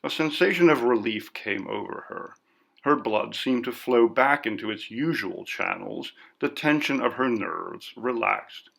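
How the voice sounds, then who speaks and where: A man reading a story as scripted narration in a measured, literary delivery. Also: medium pitch, lively intonation, vocal fry, fairly bright tone. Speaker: audiobook narrator.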